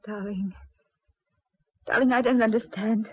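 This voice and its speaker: An actress playing a fearful young widow, pleading breathlessly in melodramatic 1940s radio style. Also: female voice, on the high side, fairly even intonation, unhurried, breathy edge, dry recording. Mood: bewildered. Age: young adult. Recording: muffled 1940s radio recording.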